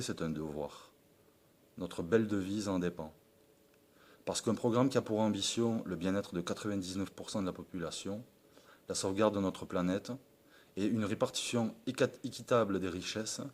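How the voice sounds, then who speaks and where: A man from France reading a formal, earnest political message of support, with a declamatory tone and the odd slip of the tongue. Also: sits low. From France (Perpignan). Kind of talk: read political address.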